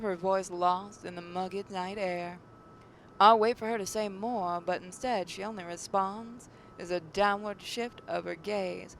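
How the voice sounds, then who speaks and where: Woman reading aloud over clear background noise. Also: medium pitch, rough recording. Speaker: gaming channel host. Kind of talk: reading visual novel narration aloud.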